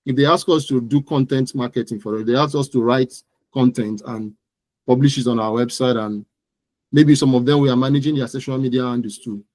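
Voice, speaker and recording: A Nigerian man speaks as an interview guest about his work. His voice sits at a middling pitch in a dry recording, and he stresses points by repeating his phrases. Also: steady tone, a little fast, a little mellow, no room sound. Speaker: interview guest, digital marketing entrepreneur. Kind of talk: conversational interview about own business.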